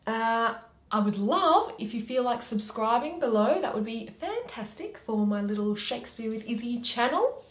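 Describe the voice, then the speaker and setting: Animated woman host in a roomy space. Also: a little high, moderate pace. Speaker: host and reader. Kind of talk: friendly presenting and scripted reading. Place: small room at home, indoors. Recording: roomy home recording.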